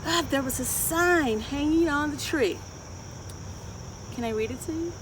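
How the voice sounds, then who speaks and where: A middle-aged woman reading a picture book aloud to children, expressive and deliberate, often putting questions to her listeners. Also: sits high. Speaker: children's storyteller. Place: outdoors in a wooded garden. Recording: outdoor, distant mic, ambient insect noise. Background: insects and outdoor ambience.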